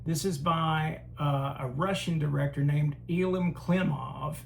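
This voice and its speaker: A man hosting a casual film collection review, talking haltingly with frequent pauses and fillers. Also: on the high side, fairly even intonation, creaky, slight background noise. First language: English. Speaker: host.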